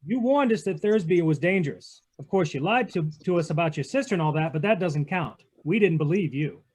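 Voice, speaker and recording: A hard-boiled American private detective, acted by a man, straight out of a forties radio play. The voice sits notably high, with cynical, wisecracking delivery and slangy tough-guy pronunciation. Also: animated, fairly quick, darkish tone, husky. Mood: wry, probing. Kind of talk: 1940s radio drama, hard-boiled narration.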